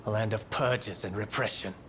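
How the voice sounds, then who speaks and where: A man's voice: on the low side, moderate pace, a bit bright, slight background noise, close to an everyday voice. A man acting a proud, idealistic revolutionary prisoner in a video game, solemn, resolute and oratorical. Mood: defiant.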